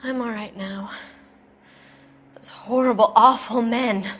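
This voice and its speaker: A flustered, evasive producer's wife. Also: female voice, a little high, fairly even intonation, takes it slowly. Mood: shaken. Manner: soft-spoken, flustered and defensive. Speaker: witness questioned by police. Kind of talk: 1940s-style game cutscene acting.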